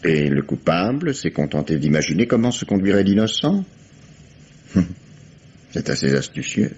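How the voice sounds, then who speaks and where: A deep-voiced calm police commissioner. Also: male voice, breathy edge, heavily acted. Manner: measured, dry ironic authority. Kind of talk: 1950s French radio drama acting. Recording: dull old lo-fi radio recording.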